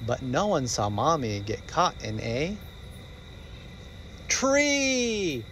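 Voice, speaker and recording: A male storyteller narrates rhyming children's verse with lots of pitch movement. He takes his time, and faint ambient noise sits behind the voice. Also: sing-song, extremely slow, a bit bright.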